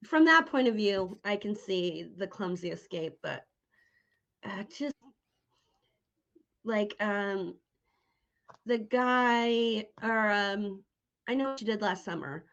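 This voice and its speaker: A woman interviewer chats in an informal, conversational way at a middle pitch. She states her opinions plainly and casually. The recording is a little dull and lo-fi. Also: animated, slow, long pauses, fairly bright tone. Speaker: podcast interviewer. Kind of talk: casual chat about horror tropes.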